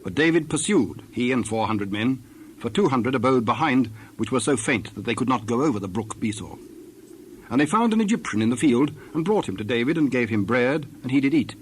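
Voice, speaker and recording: A man's voice: mid-range voice, animated, a little fast. Solemn male scripture narrator, noisy backdrop.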